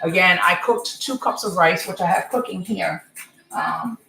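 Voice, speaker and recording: A woman in her forties from Senegal hosting a casual live cooking stream from her home kitchen, chatty and rambling, often asking "okay?", with a slightly hoarse voice. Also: on the low side, unhurried, pushed and bright, echoey room, faint music behind, poor audio. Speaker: home cook, channel host. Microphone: computer or phone camera mic.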